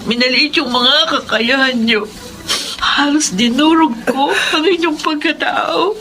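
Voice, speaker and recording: High-pitched, emotional young Filipino daughter. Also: female voice, unhurried, pushed and bright, exaggerated voice, rough recording. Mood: remorseful, pleading. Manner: melodramatic, emphatic. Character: ambitious young daughter, headstrong, emotional, later remorseful. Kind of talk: Filipino radio drama acting.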